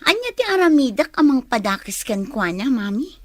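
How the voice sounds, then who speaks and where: A woman's voice: sits high, very animated, a little fast, no room sound, clean studio sound. An actress playing a scheming young office woman who leans on her mother, in an Ilocano radio drama. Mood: doubtful, peevish.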